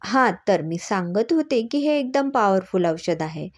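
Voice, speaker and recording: A woman's voice: medium pitch, expressive, unhurried. A woman storyteller voicing a clever, teasing woman doctor who explains things patiently and with assurance. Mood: assured.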